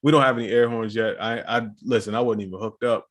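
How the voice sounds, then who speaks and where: A man with a fairly low voice trades easygoing, slang-filled banter as a podcast co-host. His talk is loose and relaxed, full of quick asides and friendly jokes. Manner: laid-back slangy banter. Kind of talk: casual chat.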